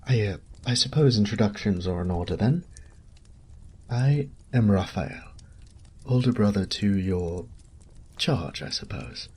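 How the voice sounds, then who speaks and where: A man's voice: fairly low pitch, lively intonation, a little slow, long pauses, a little mellow, slightly rough, heavily acted. A man voicing a courteous noble knight captain in a fantasy audio roleplay, speaking in formal, polished phrases and politely probing. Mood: surprised, gracious.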